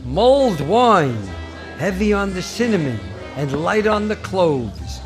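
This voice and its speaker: A quaint, eager old-fashioned angel. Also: male voice, high-pitched, sing-song, slow pace, music in the background, full character voice. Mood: gentle. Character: gentle, fussy, old-fashioned male angel. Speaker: radio actor. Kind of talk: 1940s radio acting.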